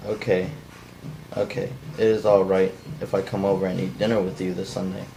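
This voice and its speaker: A man's voice: sits low, little pitch movement, brisk, warm tone, poor audio. A non-actor man cold-reading the part of an edgy, blunt, folksy killer in a script table read. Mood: recounting. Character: edgy, cold-blooded man confessing to killing. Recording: faint background noise.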